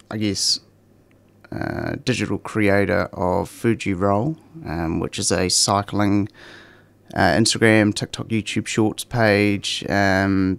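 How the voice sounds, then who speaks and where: A young man with a New Zealand accent, chatting as a guest on a talk show with a relaxed manner and lots of ums. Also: lowish voice, gravelly, clean tone, no room sound. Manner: relaxed, many ums and fillers. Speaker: guest, cycling content creator. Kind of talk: casual interview chat.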